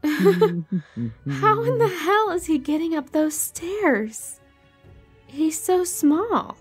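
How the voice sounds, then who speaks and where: A woman's voice: high voice, big pitch swings, extremely slow, faint music behind, exaggerated voice. A woman playing an anxious, pregnant young wife in a horror audio drama, hesitant and pleading. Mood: startled.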